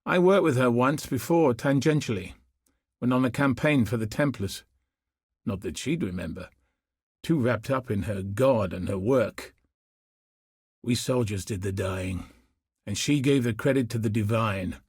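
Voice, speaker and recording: A battle-worn veteran tracker from a fantasy video game. His voice is husky and gruff, recorded dry and close. He speaks in measured phrases with a world-weary, wry edge. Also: medium pitch, a little mellow, no echo. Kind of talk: scripted video game dialogue.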